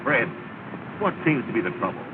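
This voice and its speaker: A noisy old film recording, the voice off the mic, with a man playing an exasperated gentleman. He complains in formal phrases, his pitch swinging up and down with fussy indignation. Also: sing-song, brisk, lightly acted. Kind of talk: 1930s film acting. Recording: distant, tinny, noisy 1930 film soundtrack.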